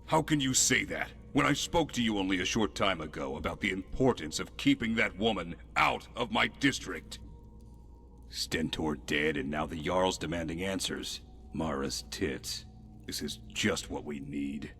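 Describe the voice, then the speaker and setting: An actor playing a stern, exasperated fantasy game official, blunt and reproachful, with a gravelly voice in a reverberant hall. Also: male voice, sits low, expressive, moderate pace, a bit bright, soft background music, heavily acted. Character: stern, exasperated male official in charge of a district. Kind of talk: fantasy game character acting.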